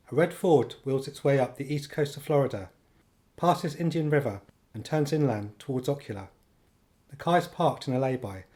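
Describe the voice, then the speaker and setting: A man playing the matter-of-fact narrator of a radio play, measured and descriptive as he sets the scene. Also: mid-range voice, a little mellow, natural voice.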